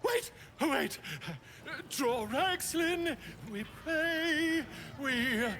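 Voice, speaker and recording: High-pitched flustered, stammering bard. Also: male voice, animated, bright, forceful tone, a little hiss or hum, heavily acted. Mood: nervous, halting. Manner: halting, stammering, throat-clearing. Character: flustered stammering bard reciting a fawning ballad. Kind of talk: fantasy game character acting.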